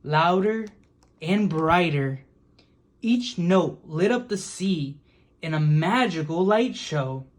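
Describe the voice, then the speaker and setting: A woman reading a children's picture book aloud in a deliberate, expressive storytelling manner. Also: lowish voice. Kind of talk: storybook read-aloud narration.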